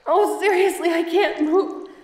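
Woman acting a high-voiced theatrical femme fatale adventuress. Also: steady tone, measured pace, darkish tone, reverberant, far from the mic. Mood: panicked, pleading. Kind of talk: audio roleplay acting, addressed to the listener.

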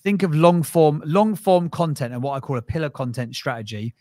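A quick, energetic man in his thirties talks through his advice in a blunt, animated way. His voice sits close and dry on a studio mic in a small foam-lined room. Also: fairly high pitch, dry recording. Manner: animated, direct, advice-giving. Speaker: podcast agency founder, guest. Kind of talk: casual interview chat. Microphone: studio mic on arm, headphones.

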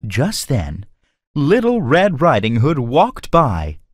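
A male narrator of a children's story. His delivery is expressive and highly animated, paced slowly, and the recording is dry and close. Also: no room sound.

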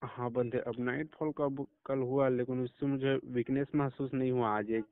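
Male caller, patient in a phone consultation, clean smooth tone, dry telephone sound. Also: mid-range voice, steady tone, normal speed, no echo. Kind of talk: phone conversation. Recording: tinny phone call recording.

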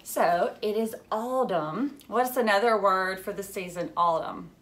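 A woman in her thirties reading a storybook aloud to young children like a teacher, expressively, with questions and little asides. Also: mid-range voice. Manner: expressive, drawn-out storybook delivery. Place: furnished living room at home, indoors.

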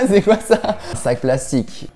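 A young man from southern France with a warm, mellow tone, answering this-or-that questions in a small room. He hesitates with plenty of fillers and laughs between answers. Recorded close on a lapel mic with faint music underneath. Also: fairly high pitch, animated, slow pace. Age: young adult. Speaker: guest youtuber. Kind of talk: casual quick-fire question game.

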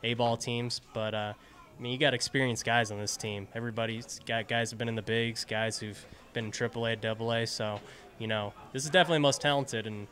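Earnest young infielder. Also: male voice, mid-range voice, steady tone, fairly quick, fairly bright tone. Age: young adult. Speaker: baseball infielder. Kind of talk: post-game interview. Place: indoors in front of a sponsor backdrop. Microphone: handheld interviewer mic.

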